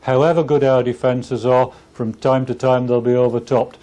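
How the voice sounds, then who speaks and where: A man speaking as an official in a formal interview, in a measured way. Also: mid-range voice, level tone, warm tone. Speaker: Environment Agency official.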